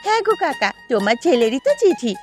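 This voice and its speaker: A woman's voice: high voice, big pitch swings, quick pace. A woman voicing a friendly, helpful, chatty young village woman in a Bengali cartoon. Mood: explaining. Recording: music behind the voice.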